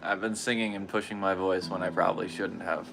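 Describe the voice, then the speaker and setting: A man talking slowly and haltingly during a livestream, his voice hoarse and tired, with pauses and repeated phrases. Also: deep voice, steady tone, noisy background. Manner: soft-spoken, halting, with long pauses and repetitions. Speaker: guitarist livestreaming practice. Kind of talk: slow reflective personal talk.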